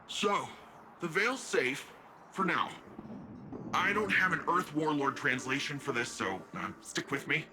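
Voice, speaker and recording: An actor playing a cocky, playful young hero in a video game, talking in casual, stop-start asides. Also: male voice, fairly high pitch, expressive, a little slow, pushed and bright, slight background noise, full character voice. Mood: breezy, playful.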